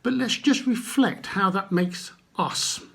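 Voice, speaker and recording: Slow, high-voiced man with husky tone. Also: pushed and bright. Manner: unhurried, simple phrasing, guiding listeners with questions. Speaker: children's Bible storyteller. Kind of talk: expressive storytelling and gentle address to children.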